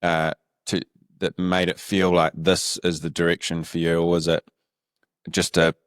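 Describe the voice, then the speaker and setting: A New Zealand man with a New Zealand accent hosting a business podcast, asking questions in a deep, monotone voice. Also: a little slow, smooth, dry recording, clean studio sound. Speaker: podcast host. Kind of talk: interview questions, conversational.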